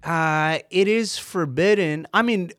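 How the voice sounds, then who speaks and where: A young man from Canada, a stand-up comedian, chatting casually with quick, crude jokes. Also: fairly high pitch, a bit bright, dry recording, studio quality. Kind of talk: casual podcast chat.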